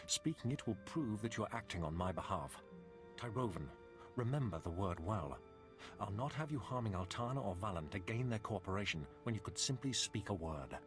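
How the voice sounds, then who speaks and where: An actor playing a guarded, stern captive man in a video game, making demands in formal, measured words. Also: fairly low pitch, lively intonation, natural voice. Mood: stern, insistent. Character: guarded, stern male captive bargaining for his family. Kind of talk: video game cutscene acting. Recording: loud game music behind.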